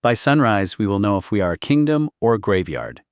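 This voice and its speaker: A man's voice: lowish voice, big pitch swings, a little fast, clean tone, no echo, studio quality. A man voicing a commanding, anxious queen and mother in an audiobook, with an imperious, grave manner. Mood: somber, resolute. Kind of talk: audiobook character dialogue.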